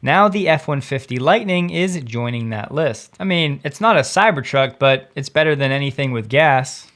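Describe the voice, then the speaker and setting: A man hosting a scripted news show, explaining things clearly with short personal asides. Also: medium pitch, animated, moderate pace, fairly bright tone. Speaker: host of an electric car news show.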